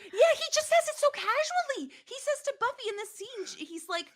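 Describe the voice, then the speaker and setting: A woman's voice: very high-pitched, brisk. A woman chatting as a podcast host, talkative and given to acting out quotes. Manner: chatty, animated, acts out quotes. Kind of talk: casual TV recap chat.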